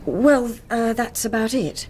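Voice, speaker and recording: An actress playing a helpful, composed librarian with a British accent in a radio drama. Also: female voice, a little high, expressive, slow, darkish tone, slight background noise. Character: helpful, composed female librarian, younger than the detective.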